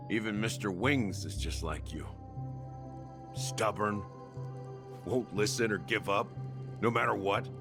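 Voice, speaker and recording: An actor playing a wry, world-weary male outcast in a game, musing in a drawl as if to an old comrade. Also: fairly low pitch. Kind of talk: dramatic game monologue. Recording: clear music behind the voice. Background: music.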